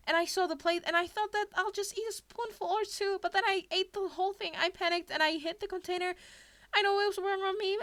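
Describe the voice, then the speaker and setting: A woman voicing a guilty, panicky teenage girl who pleads apologetically and haltingly in a fan fiction reading. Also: very high voice, fairly bright tone, no echo, voice pushed up.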